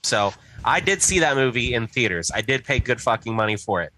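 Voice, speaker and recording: A man co-hosting a casual movie podcast. His voice sits at an average pitch with a bright, forceful edge. He talks haltingly and swears as he goes. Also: big pitch swings, a little hiss or hum, low-quality recording. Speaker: podcast co-host. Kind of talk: casual chat about movies.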